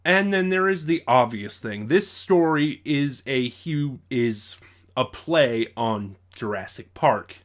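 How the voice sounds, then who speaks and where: A man records an unscripted review in a medium-pitched voice. He talks haltingly, as if working out his opinion aloud, and often breaks off to rephrase a sentence midway. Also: animated. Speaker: YouTube audio drama reviewer.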